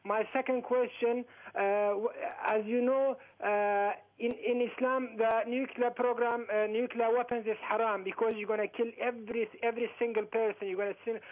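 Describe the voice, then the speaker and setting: A man calling into a talk show, speaking halting, broken English with many restarts. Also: very high-pitched, level tone. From Iraq. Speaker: caller. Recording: tinny phone line.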